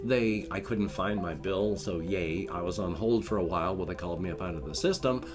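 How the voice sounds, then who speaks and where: A man's voice: deep voice, a little fast. Nasal, wheezy older man vlogging. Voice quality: nasal, congested. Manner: rambling, self-mocking with flat "yay"s. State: wheezy from a cold. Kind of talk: casual solo vlog. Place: at home in his chair. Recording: guitar music in background.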